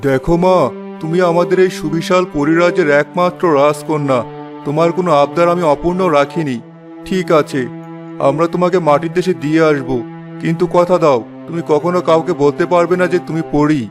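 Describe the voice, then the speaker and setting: A man's voice: on the high side, steady tone, fairly quick, music in the background, lightly acted. A man voicing a worried, indulgent fairy king and father in a children's cartoon.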